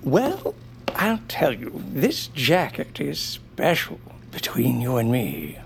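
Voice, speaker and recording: A woman playing a genteel, slyly mysterious male tailor creature in a family audio comedy, courteous and theatrical, with drawn-out musing. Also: very deep voice, wildly swooping pitch, vocal fry, slight background noise, exaggerated voice. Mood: courteous, intriguing.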